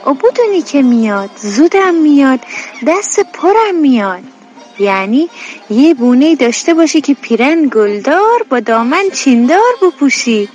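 A high-pitched Iranian homemaker in a radio play, exasperated yet affectionate. She chides in homely colloquial speech, with music playing softly behind her. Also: very animated, highs cut off. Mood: wistful. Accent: Iranian Persian. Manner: colloquial, scolding yet fond. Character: exasperated, affectionate homemaker woman talking to children. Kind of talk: Persian radio drama acting.

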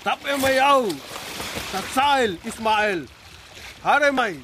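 A man's voice: very high-pitched, animated, very slow, background noise, full character voice. A male actor playing a harpooner, calling out in broken exclamations in a German radio drama. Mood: strained, urgent. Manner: exclamatory, broken foreign-sounding calls. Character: straining male harpooner hauling on a rope. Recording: loud water and boat effects behind.

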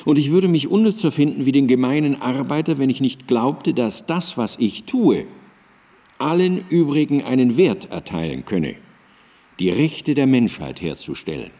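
An actor playing an earnest, reflective Enlightenment philosopher in a radio drama, speaking in measured, emphatic, carefully phrased declamation. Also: male voice, medium pitch, expressive, mellow. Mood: earnest, confessional. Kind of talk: radio drama monologue of historical writings. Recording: reverberant hall sound.

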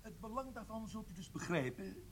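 A husky, somewhat high-voiced military prison doctor in a radio drama. He interrogates with measured, formal courtesy that carries menace. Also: very animated, heavily acted. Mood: politely threatening. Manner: measured, formal, pointedly polite.

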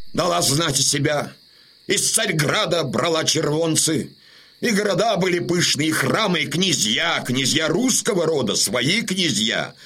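A man's voice: medium pitch, pushed and bright, slightly rough, heavily acted. An actor playing an older Cossack chieftain in a Russian radio drama, rousing his warriors with solemn, declamatory oratory. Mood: grave, heartfelt.

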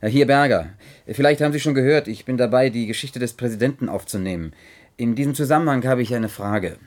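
A man's voice: mid-range voice, quick pace, close to an everyday voice. An actor playing an earnest, polite investigating chronicler in a German radio play, hesitant but persistent in his questions. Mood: preoccupied, inquiring.

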